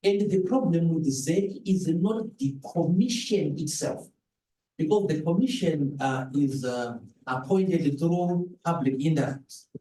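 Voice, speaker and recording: A man with a Zimbabwean accent giving a political talk as a panel speaker, deliberate and halting, repeating words for emphasis. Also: on the high side, mellow. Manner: deliberate, hesitant phrasing with emphatic repetition. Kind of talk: political talk at a public dialogue. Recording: roomy live event recording, slightly distant mic.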